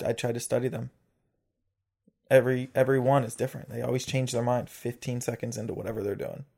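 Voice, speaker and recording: A man's voice: medium pitch, flat delivery, fast, vocal fry, mellow. A young man co-hosting a casual podcast, telling jokey stories and acting out quotes. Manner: jokey, acts out quotes, crude humor. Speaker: podcast co-host. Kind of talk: casual chat. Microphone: podcast mic with audio levels.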